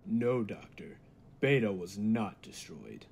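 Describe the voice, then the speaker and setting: An actor playing a cold, megalomaniac humanlike robot in an old-time radio drama, speaking deliberately and with grandiose menace. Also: male voice, on the low side, very animated, vocal fry, darkish tone, a little hiss or hum, full character voice. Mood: menacing. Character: cold, megalomaniac humanlike male robot bent on world mastery.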